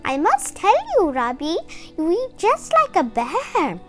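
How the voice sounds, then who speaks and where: Woman voicing a high, swooping storybook grandmother. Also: wildly swooping pitch, takes it slowly, faint music behind, full character voice. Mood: fussing, amused. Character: kindly, chuckling, fussing old grandmother, hospitable and bewildered. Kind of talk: animated storybook read-aloud for children.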